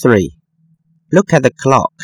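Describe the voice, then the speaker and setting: A man reads numbered sentences for a school listening test, pausing and pronouncing each word with care. His pitch is mid-range but swings widely. It is recorded close and dry, with no room sound. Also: expressive, slightly rough, dry recording. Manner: slow, careful, deliberate enunciation. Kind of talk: scripted textbook listening exercise.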